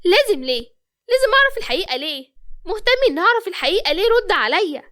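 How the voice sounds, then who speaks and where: Young Egyptian romantic heroine, acted by a woman; extremely high, fast, bashful yet sassy, for story audio. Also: sing-song, pushed and bright, exaggerated voice. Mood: offended, defiant. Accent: Egyptian Arabic. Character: young women in love, bashful, sassy, easily offended.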